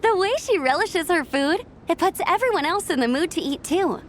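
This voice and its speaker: A woman's voice: very high-pitched, lively intonation, normal speed, slight background noise. A woman voicing a friendly, eager young female knight in a video game, perky and chatty. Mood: chatty, admiring. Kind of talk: animated video game voice acting.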